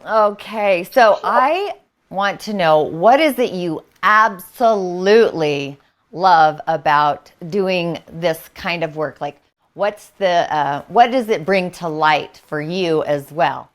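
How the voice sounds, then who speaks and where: A woman hosting a podcast interview, asking questions in a relaxed, conversational way. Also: on the low side, slow pace. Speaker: podcast host.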